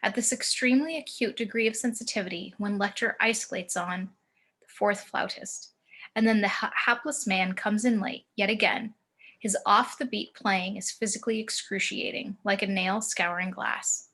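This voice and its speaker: Female narrator, medium pitch, scripted screenplay reading, deliberate phrasing, descriptive, video call. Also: a bit bright. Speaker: stage-direction narrator.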